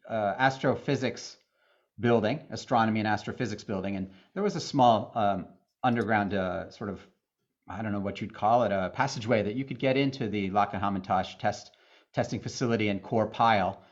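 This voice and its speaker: A middle-aged man from the Boston area, chatting casually as a guest with dry, deadpan humor. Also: lowish voice, brisk, dull audio. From Boston area, USA. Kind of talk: casual interview chat.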